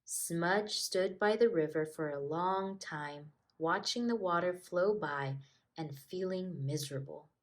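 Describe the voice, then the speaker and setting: A woman teacher reading a children's storybook aloud with expressive narration. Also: fairly low pitch, takes it slowly.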